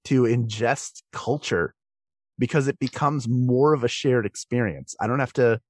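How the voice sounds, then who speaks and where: A man's voice: medium pitch, measured pace, dry recording, studio quality. A man talking casually as a guest on a podcast, conversational and repeating words for emphasis.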